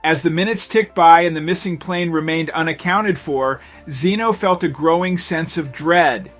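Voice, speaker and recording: Male narrator, lighter register, for suspenseful scripted storytelling; measured delivery over a soft music bed. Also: fairly high pitch. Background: background music.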